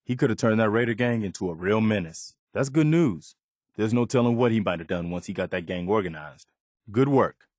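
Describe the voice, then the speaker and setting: An actor playing an earnest, loyal and idealistic militia officer in a video game, measured and plain-spoken. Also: male voice, lowish voice, animated, darkish tone, dry recording, studio quality. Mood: approving. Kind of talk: video game character dialogue.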